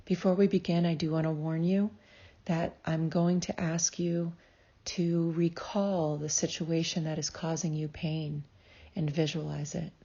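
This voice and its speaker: A woman with a low, mellow voice guides a meditation at a very slow pace. Her delivery is soothing and measured, giving gentle instructions. The recording is slightly dull and muffled. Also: level tone. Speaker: psychologist leading a guided meditation.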